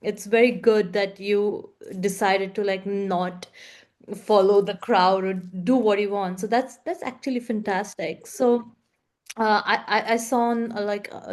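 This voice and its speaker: A woman's voice: medium pitch, a little mellow. A woman interviewing a young actor, encouraging and chatty, using many fillers. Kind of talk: casual interview chat.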